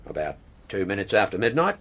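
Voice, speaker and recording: An actor playing a blunt, impatient middle-aged gentleman in a radio drama. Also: on the low side, very animated, a little fast, full character voice. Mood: recalling.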